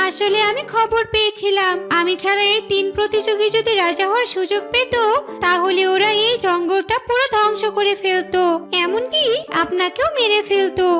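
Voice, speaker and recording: A woman playing an earnest, loyal female deer in a children's cartoon. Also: very high-pitched.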